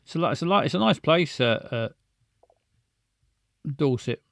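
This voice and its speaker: A man's voice: a little high, expressive, moderate pace, vocal fry, fairly bright tone, no echo, studio quality. A man, casually chatting with stream viewers in a rambling, stammering, self-mocking way. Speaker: livestream host.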